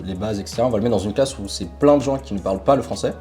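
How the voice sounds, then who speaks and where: Young Portuguese-born man, mellow voice. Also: on the low side, racing, soft background music. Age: young adult. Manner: relaxed, animated storytelling with frequent fillers. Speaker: interview guest. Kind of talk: casual conversational storytelling.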